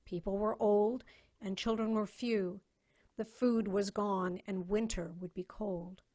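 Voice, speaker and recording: Measured woman narrator with mid-range voice. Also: medium pitch, fairly even intonation, darkish tone. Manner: measured, storytelling. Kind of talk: scripted narration of a dramatic story reading. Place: online video call reading.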